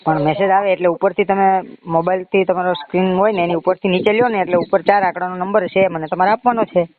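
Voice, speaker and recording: A man with a high voice and a Gujarati accent makes a loan sales call. He talks fast and presses his point again and again. The sound is narrow and muffled, as on a recorded phone line. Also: steady tone, a crowd in the background. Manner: insistent, pushy, repetitive. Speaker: phone caller claiming to be a bank loan agent.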